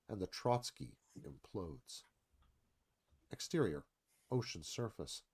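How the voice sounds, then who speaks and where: A man's voice: mid-range voice, darkish tone. A man narrating stage directions in a dramatic, storytelling screenplay read.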